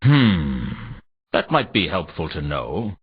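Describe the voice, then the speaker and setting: A man's voice: low-pitched, big pitch swings, takes it slowly, husky, heavily acted. A man playing a world-weary, dryly sardonic private detective in a noir video game. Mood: dry, inquisitive. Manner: dry, sardonic. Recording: dull, lo-fi game audio.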